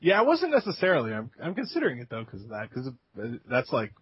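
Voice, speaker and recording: Laid-back husky podcast guy. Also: mid-range voice, fast, dry recording. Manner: relaxed, chuckling, trails off mid-sentence. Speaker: podcast co-host. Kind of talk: casual gaming podcast chat. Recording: dull lo-fi home podcast mic.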